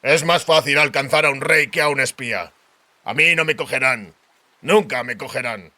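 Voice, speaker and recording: A man's voice: medium pitch, normal speed, pushed and bright. A man voicing a cynical, stubborn royal spymaster in an audiobook, with terse, blunt delivery. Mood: defiant. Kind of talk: audiobook character dialogue.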